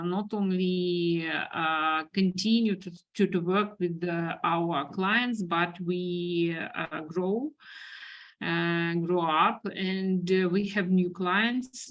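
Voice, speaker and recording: A woman from Ukraine with a Ukrainian accent, giving a webinar talk over a video call, halting and full of fillers as she searches for words. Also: on the low side. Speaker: guest speaker, business owner. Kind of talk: webinar presentation.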